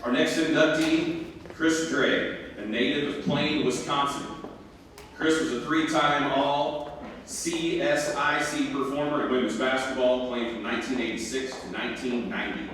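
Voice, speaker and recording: A man's voice: medium pitch, steady tone, moderate pace, fairly bright tone. Male ceremony announcer, formal scripted delivery, distant mic in a reverberant hall. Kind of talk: scripted formal award presentation. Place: large echoing hall at a ceremony. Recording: echoey hall from far, faint noise.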